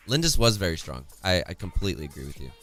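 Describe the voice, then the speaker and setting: Young guy casting esports from his room, voice shot and hoarse, low pitched, soft music under it. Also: very animated, brisk. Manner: chatty, reads chat aloud, laughs often. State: hoarse, voice worn out. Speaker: esports caster. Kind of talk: solo esports stream casting, chatting with chat. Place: home streaming room, indoors. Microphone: studio condenser mic close in front, headphones.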